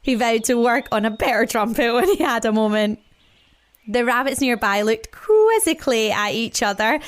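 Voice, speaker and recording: A woman narrating a children's story expressively, with wry, playful asides and dramatic pauses. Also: fairly high pitch.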